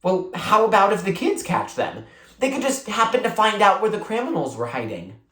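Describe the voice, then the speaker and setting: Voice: medium pitch, sing-song, normal speed, fairly bright tone, pitched above the performer's own voice. A reader voicing a haughty, scornful sixth-grade girl from a children's storybook. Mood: eager. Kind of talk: children's storybook character read aloud. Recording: roomy, echoing sound.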